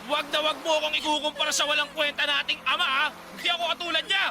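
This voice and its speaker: A man's voice: extremely high pitch, level tone, fast, very noisy. A man acting as a hot-tempered, aggressive older brother in a radio drama. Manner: loud, aggressive.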